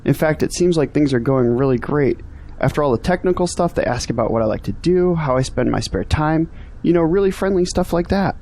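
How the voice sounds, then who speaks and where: A man plays a young son in an audio drama, frustrated and earnest. His voice sits a little high for a man and has a mellow tone. There is some faint noise in the recording. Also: animated, a little fast. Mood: earnest, puzzled. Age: young adult. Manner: earnest, complaining.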